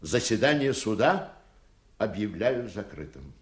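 An actor in a radio play playing a stern, easily indignant judge who speaks formally and with heavy emphasis. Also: male voice, lowish voice, big pitch swings, unhurried, slightly rough, exaggerated voice. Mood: solemn, regretful.

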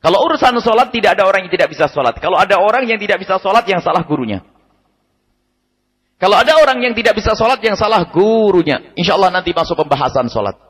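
Man, preacher, husky voice, long hall reverb, radio broadcast. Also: high-pitched, fast. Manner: lively, emphatic, repeats key points, frequent chuckles. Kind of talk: religious study lecture. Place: study gathering hall.

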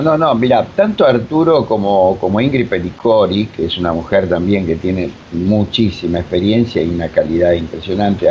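A man with a Rioplatense Argentine accent talks casually as an interviewed director, rambling emphatically and searching for words. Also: dark tone. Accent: Rioplatense Argentine Spanish. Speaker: radio drama director being interviewed. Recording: muffled, low-fi with faint noise.

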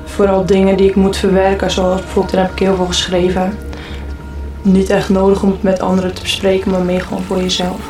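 Monotone speaker, casual reflective talk, tentative, echoing room with faint music. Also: mid-range voice, brisk. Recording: roomy, distant mic, faint music.

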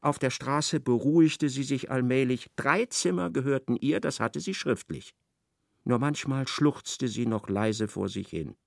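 A man narrating a literary radio play in a measured, scripted manner. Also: medium pitch, vocal fry, no echo, clean studio sound. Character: measured male narrator of a satirical novel.

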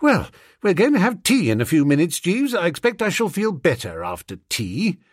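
Flustered posh young English gentleman, acted by a man. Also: sits high, wildly swooping pitch, moderate pace, no echo, clean studio sound, pitched above the performer's own voice, full character voice. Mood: dismayed. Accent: upper-class English. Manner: hesitant, exclamatory, old-fashioned slang. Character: flustered upper-class young English gentleman, amiable and dim.

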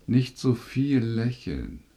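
An actor playing a gruff, suspicious middle-aged father in a radio drama, speaking curtly and dismissively. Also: medium pitch, big pitch swings, takes it slowly, background noise. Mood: cold, dismissive. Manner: curt, dismissive.

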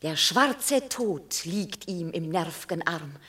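A woman's voice: on the low side, lively intonation, takes it slowly, a bit bright. An actress playing a proud, exultant Roman mother of a war hero in a classical radio drama, stately and declamatory. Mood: triumphant.

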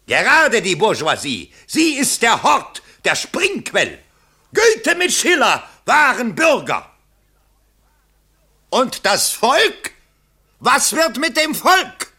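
An actor in a 1970s German radio play, playing a pompous yet anxious election candidate with theatrical oratory. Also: male voice, very high voice, huge pitch swings, slow pace, sharp tone, breathy edge, full character voice. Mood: bombastic. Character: pompous, anxious male election candidate rehearsing and declaiming speeches.

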